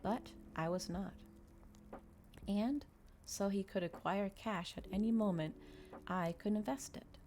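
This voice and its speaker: A woman's voice: mid-range voice, a little slow, pauses often. A woman hosting an ASMR livestream, chatting casually and reading aloud in a soothing way. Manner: soft-spoken, soothing when reading. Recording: clear background noise, fireplace crackle ambience. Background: fireplace crackles and quiet ambient music.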